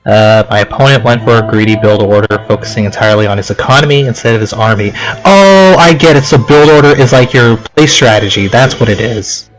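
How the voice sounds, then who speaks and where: A man's voice: lowish voice, a bit bright, poor audio. Casual male let's play commentator over music. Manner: rambling, reacting aloud with puzzled questions. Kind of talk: casual gaming commentary, reading game text aloud. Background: game music.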